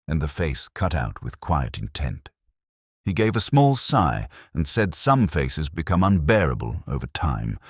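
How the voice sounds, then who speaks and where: A man reading a scripted first-person detective story in a measured, literary, brooding manner. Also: very deep voice, husky, no echo, clean studio sound. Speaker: narrator.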